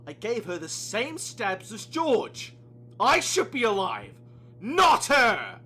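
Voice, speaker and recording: A man playing a whiny, self-pitying condemned murderer who shouts, pleads and accuses in an amateur audio play. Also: high-pitched, huge pitch swings, slow, breathy edge, voice pushed up, full character voice. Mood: aggrieved despair.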